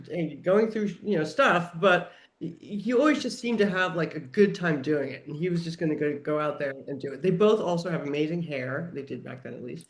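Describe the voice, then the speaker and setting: A woman chatting casually on a podcast, enthusiastic and emphatic, with a conversational manner full of "like". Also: deep voice. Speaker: guest TV writer and producer.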